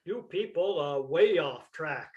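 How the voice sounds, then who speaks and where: A laconic country local, acted by a man in a play reading, talks slowly and matter-of-factly in a high-pitched male voice. The sound is a bit dull and lo-fi, and he stays deadpan. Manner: terse, matter-of-fact, deadpan. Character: laconic, unhurried rural Vermont local selling maple syrup. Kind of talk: staged reading of a comic play.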